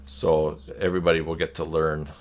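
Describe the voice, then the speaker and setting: A man's voice: rumbling low, flat delivery, a little fast, a little hiss or hum. A man in casual conversation on a group video call, thinking aloud in a rambling, tentative way. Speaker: participant in a web tutorial livestream.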